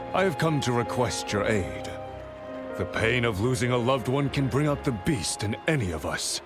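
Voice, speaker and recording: A man's voice: medium pitch, natural voice. An actor playing a proud, magnanimous nobleman warlord in a video game cutscene, with formal, grandiose, courtly speech. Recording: loud background music.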